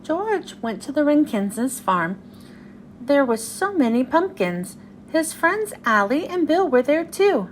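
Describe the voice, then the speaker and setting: A woman's voice: high-pitched, very animated, slow, a little hiss or hum. A woman hosting a read-aloud and reading a children's storybook to young listeners.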